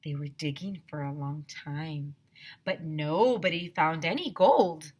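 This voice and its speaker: A woman teacher reading a children's story aloud, narrating in an expressive, emphatic way. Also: low-pitched, slow pace.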